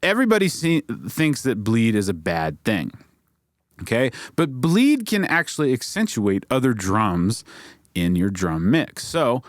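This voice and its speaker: A man's voice: fairly low pitch, dry recording, studio quality. A man giving a casual, explanatory tutorial as an instructor, informally checking in with his listeners as he goes. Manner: informal, checks in with "okay?" and "right?". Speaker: audio mixing instructor and video host.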